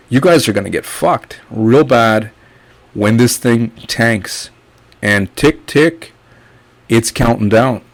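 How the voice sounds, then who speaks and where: Blunt, mocking man ranting. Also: medium pitch. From Guyana. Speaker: online income youtuber. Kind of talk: casual screen-share rant.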